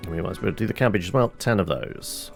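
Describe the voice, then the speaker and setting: A man's voice: low voice, lively intonation, quick pace, creaky, music in the background. A man giving casual let's-play commentary, muttering his thoughts aloud as he plays and swearing now and then. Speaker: gaming channel host.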